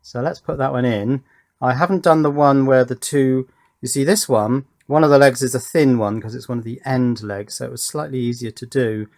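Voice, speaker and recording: Man, mid-pitched voice, casual demonstrator, chatty, thinking aloud, self-questioning. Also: normal speed, darkish tone. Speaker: host of an electronics workshop video.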